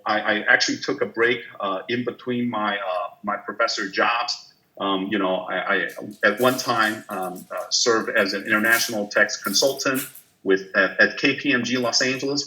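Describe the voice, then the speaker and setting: A man's voice: medium pitch, bright, forceful tone, rough recording. A business professor from Taiwan, in his forties, giving an online guest lecture with frequent fillers and restarts. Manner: hesitant, many fillers and restarts.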